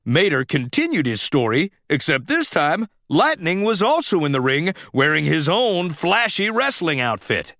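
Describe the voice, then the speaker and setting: Male storybook narrator for children, higher-pitched, very expressive, unhurried, close dry sound. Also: dry recording. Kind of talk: animated scripted read-aloud narration for children.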